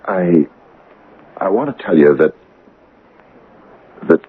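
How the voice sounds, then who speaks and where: An actor playing an earnest, agitated small-town man in a radio drama, halting and stammering with urgency. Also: low-pitched, lively intonation, husky, phone-quality sound, heavily acted. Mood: hesitant, awkward. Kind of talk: 1980 radio drama acting. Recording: band-limited old radio recording.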